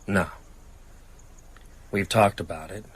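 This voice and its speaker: An actor playing a weary, haunted novelist in a radio drama, narrating in a sombre, measured, reflective way. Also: male voice, low-pitched, steady tone, a bit bright, slightly rough, heavily acted. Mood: resolved. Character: haunted, weary male novelist recounting vampire horror. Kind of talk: dramatic first-person narration.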